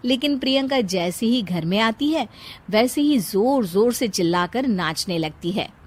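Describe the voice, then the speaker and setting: Female narrator for scripted moral tales, voice a little higher than average. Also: animated, a little slow.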